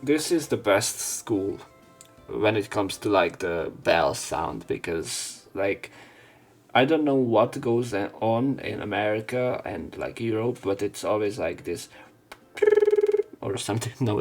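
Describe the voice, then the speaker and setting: A man's voice: on the low side, a little mellow. A man hosting a let's play, reading lines aloud and commenting casually with informal, wry asides. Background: game music.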